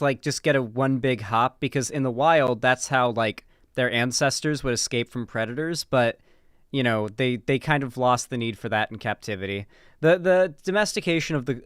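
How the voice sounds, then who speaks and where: A man's voice: mid-range voice, a bit bright, clean tone, dry recording, studio quality. A man hosting a livestream, talking in a casual, informal and chatty way. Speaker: livestream host. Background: background guitar music.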